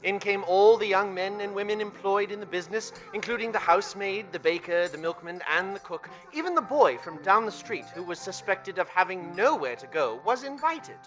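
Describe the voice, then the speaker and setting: An actor narrating a Christmas tale as an earnest storyteller in a radio play. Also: male voice, high voice, expressive, a little fast, few pauses, a bit bright, faint music behind. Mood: warm storytelling. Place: theater stage.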